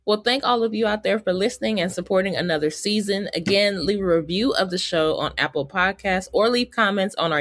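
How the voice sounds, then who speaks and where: A woman's voice: medium pitch, a bit bright, no room sound, studio quality. An American woman hosting a podcast in a chatty, informal way, speaking straight to her listeners. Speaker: podcast host. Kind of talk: casual podcast hosting and interviewing.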